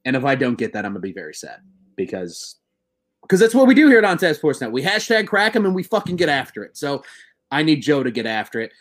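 A man in his twenties hosting a casual sports podcast, excitable and swearing, slightly buzzed. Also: medium pitch, fast. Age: 20s. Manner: excitable, swearing, laughing, bro-ish banter.

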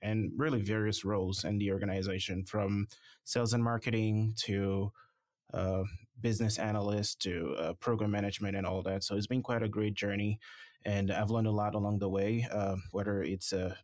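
A man from Haiti, a startup founder, answering interview questions in a measured way with many fillers. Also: lowish voice, flat delivery, darkish tone, no room sound, clean studio sound. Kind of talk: conversational interview.

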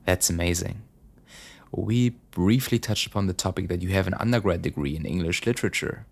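Interviewer: man, very low voice, measured and encouraging. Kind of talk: interview questions.

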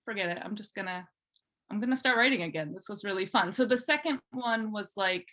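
Female podcast guest, informal and chatty, relaxed personal storytelling in everyday conversational language. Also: mid-range voice, a little fast. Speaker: podcast guest, fan fiction writer. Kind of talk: casual chat, telling personal story.

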